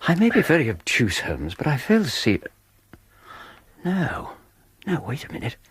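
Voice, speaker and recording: Earnest English Victorian gentleman, lively. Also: a little high, moderate pace, slightly rough, heavily acted. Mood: puzzled. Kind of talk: British radio drama acting.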